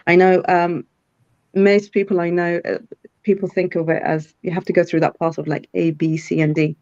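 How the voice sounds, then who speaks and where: A woman's voice: fairly low pitch, level tone, moderate pace, darkish tone, no echo. Middle-aged woman, CEO guest on a live video call, thoughtful and full of ums, dull dry audio. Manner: thoughtful, many fillers and restarts. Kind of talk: live online interview.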